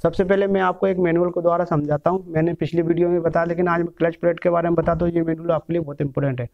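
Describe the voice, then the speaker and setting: Man, 30s, mechanic trainer, high-pitched, step-by-step explaining, dull lo-fi recording, small room. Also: flat delivery, rapid-fire, gravelly. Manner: explains step by step while handling engine parts, repeats "ठीक है" and "देखो". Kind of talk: hands-on workshop lesson. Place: small room with a table of engine parts. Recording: dull lo-fi sound.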